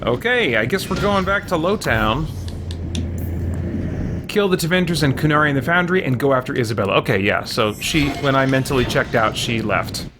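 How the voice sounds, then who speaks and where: A man's voice: medium pitch, expressive, moderate pace. A man streaming a video game with casual commentary, reacting aloud and chatting to himself and his viewers. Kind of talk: casual let's play commentary. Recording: loud game music behind voice. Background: video game music and sound.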